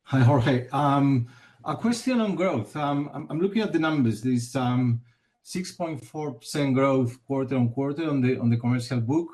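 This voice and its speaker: A man with an average-pitched voice speaks as an analyst phoning into an earnings call. He puts his questions off the cuff, somewhat haltingly, repeating the odd word as he goes. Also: a little mellow. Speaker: financial analyst, caller.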